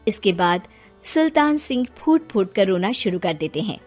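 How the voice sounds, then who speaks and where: A woman's voice: medium pitch, very animated, normal speed, darkish tone, soft background music. A woman narrating a scripted story as its storyteller.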